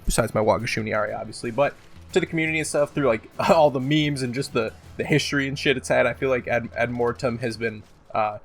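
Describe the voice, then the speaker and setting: Casual male gaming host, music behind. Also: mid-range voice. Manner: rambling, profane, slangy. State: sore throat, sick. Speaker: video host, gaming commentator. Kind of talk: casual, unscripted countdown commentary.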